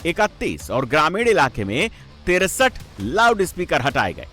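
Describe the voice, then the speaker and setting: High-pitched, animated man reading dramatic news. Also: a little slow. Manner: emphatic, sensational delivery. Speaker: TV news presenter. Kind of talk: dramatic scripted news narration. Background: background music.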